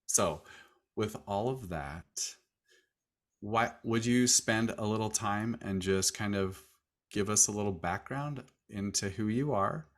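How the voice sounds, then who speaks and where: Deep-voiced man hosting a podcast. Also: unhurried. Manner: relaxed, rambling questions with loose phrasing. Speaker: podcast host. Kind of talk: casual interview chat.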